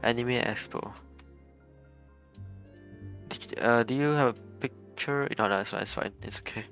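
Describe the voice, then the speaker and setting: A man's voice: mid-range voice, normal speed, fairly bright tone, soft background music. A man streaming a video game, chatting casually, laughing, and reacting on the fly. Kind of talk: casual live-stream commentary.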